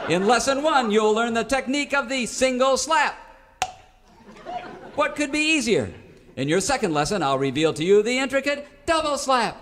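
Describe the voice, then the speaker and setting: Folksy, eager cowboy salesman for spoof radio ads, strikingly high voice, slight noise. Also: sing-song, normal speed. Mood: earnest selling. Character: folksy cowboy musician pitchman, eager and absurdly earnest. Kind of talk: parody radio commercial.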